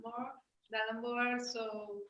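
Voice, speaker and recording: A woman introduces herself shyly in halting English over a video call. Her voice is high and bright and she speaks slowly. The room sounds echoey. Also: level tone, heavy background noise. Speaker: guest. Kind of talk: casual self-introduction.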